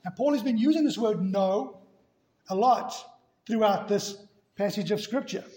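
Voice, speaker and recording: A man's voice: high voice, normal speed, dark tone. A man preaching a sermon in a church, emphatic, hammering key words again and again. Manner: emphatic, repeats key words with rising force. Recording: echoey room.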